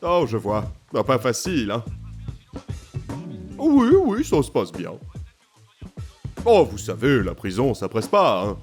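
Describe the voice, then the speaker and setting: A man's voice: medium pitch, huge pitch swings, slow, pauses often, a little mellow, faint music behind. A man playing a haughty, cheeky manservant, fussy and mock-deferential, in a comic French audio drama. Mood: chatty. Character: haughty, cheeky, put-upon male manservant.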